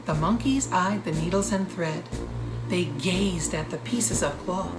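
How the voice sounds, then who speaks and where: A woman reading a children's storybook aloud in an expressive storyteller's way, with deliberate pauses. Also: lowish voice. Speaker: author reading own storybook. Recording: roomy echo, loud guitar music. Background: guitar music.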